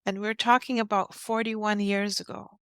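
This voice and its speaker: A woman's voice: medium pitch, little pitch movement, normal speed, a bit bright, clear, resonant tone, no room sound. A woman in her fifties from Guyana, earnestly telling her life story as an interview guest in short, heartfelt phrases. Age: 50s.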